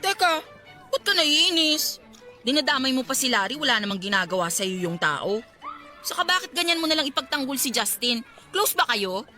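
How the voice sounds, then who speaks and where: A woman's voice: high voice, sing-song, moderate pace, bright, forceful tone, faint music behind, heavily acted. A woman acting a blunt, judgmental, gossiping young cousin in an audio drama. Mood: defensive. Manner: blunt, argumentative. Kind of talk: acted Tagalog audio drama dialogue.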